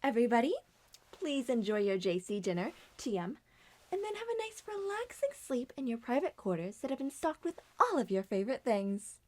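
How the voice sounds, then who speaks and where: A woman's voice: a little high, sing-song, moderate pace, a little mellow. A woman performing a scripted comic roleplay in theatrical, changing character voices. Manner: theatrical, switches between many character voices.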